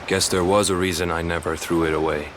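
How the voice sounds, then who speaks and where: A man's voice: deep voice, flat delivery, a little fast, background noise, lightly acted. An actor playing a young village fisherman in a video game, defensive and weary, with a blunt, guarded way of talking. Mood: sombre, resolute. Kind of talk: video game cutscene acting.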